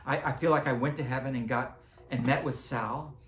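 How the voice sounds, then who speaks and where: A man's voice: mid-range voice, steady tone, fairly quick, reverberant, slight background noise. A man with a cracking, fading voice, chatting casually in a vlog, rambling, chuckling and correcting himself as he goes. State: losing his voice, cracking. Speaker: creator and seller of animatronic band items. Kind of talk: casual unboxing vlog chat.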